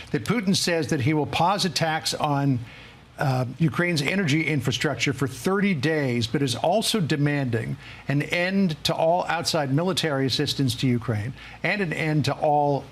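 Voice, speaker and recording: Higher-pitched American male news anchor. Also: fairly bright tone, slight background noise. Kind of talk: live news reporting and interviewing.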